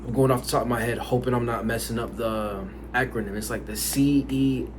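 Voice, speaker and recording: A man's voice: mid-range voice, fairly even intonation, a little fast. Chatty man vlogging over background noise. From United States. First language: Haitian Creole. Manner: rambling, filler-heavy, conversational. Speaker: language-learning vlogger. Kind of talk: casual talk to camera. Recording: noisy background.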